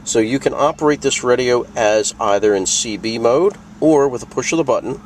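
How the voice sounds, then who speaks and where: A man's voice: medium pitch, normal speed, heavy background noise. A man presenting a product tutorial in a casual, plain-spoken, conversational way, walking through things step by step. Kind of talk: casual instructional walkthrough.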